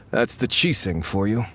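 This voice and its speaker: A man's voice: on the low side, lively intonation, slow, fairly bright tone, slight background noise. An actor playing a grumbling, gossipy townsman in a video game, talking conversationally. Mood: wry, informing. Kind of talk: video game dialogue acting.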